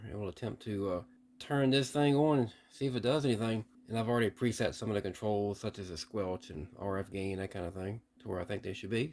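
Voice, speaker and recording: A man's voice: on the low side, a little mellow. A man giving a casual, unscripted hands-on demonstration, thinking aloud with some hesitation. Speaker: vlogger testing vintage CB radios.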